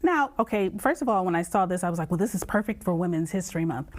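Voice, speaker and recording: A woman's voice: fairly low pitch, animated, quick pace. A woman hosting a TV interview, asking long conversational questions that set up her point before landing it. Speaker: TV host.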